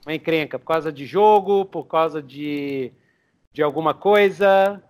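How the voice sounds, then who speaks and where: A young man with a notably high voice runs a tabletop game. He improvises the story aloud in a loose, rambling way, and chuckles as he builds the scene. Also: measured pace, darkish tone. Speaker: game master.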